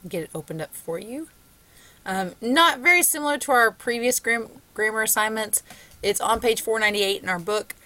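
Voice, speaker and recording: A woman's voice: a little high, normal speed, bright, forceful tone. A woman with a Southern US accent, a college English instructor giving a conversational recorded lecture with frequent ums. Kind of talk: recorded lecture with screen share.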